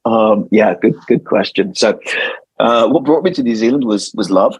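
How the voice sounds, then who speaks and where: A man's voice: fairly low pitch, lively intonation, moderate pace, slightly rough, no echo, rough recording. A man in his forties from London with a London accent, answering interview questions thoughtfully and often repeating words as he finds his phrasing. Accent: London English. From London, England. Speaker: guest lawyer.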